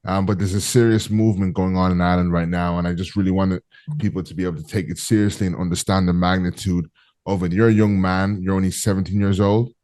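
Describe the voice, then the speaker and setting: A man hosting a call-in interview show, talking in an earnest, measured way with frequent fillers. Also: sits low, fairly even intonation, a little mellow, dry recording, studio quality. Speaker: host.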